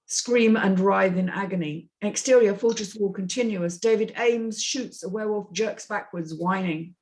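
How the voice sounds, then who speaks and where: A woman's voice: mid-range voice, normal speed, a little mellow, low-quality recording. Female narrator for a script table read, middle-register voice, reads scene directions. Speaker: script reading host and narrator. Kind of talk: reading screenplay action lines.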